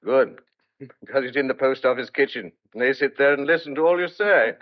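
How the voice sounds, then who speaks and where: A middle-aged man playing a hearty, hospitable farmer and father in an audio drama, brisk and plain-spoken. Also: medium pitch, natural voice. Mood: hearty, welcoming. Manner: brisk, homely, reassuring. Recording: dull lo-fi audio.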